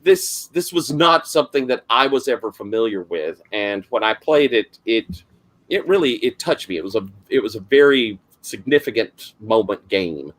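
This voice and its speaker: A middle-aged man with a heavy Southern accent and a gravelly voice, rambling through a casual introduction to the game he runs as game master, with lots of fillers and restarts. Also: lowish voice, lively intonation, normal speed. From South Carolina, US.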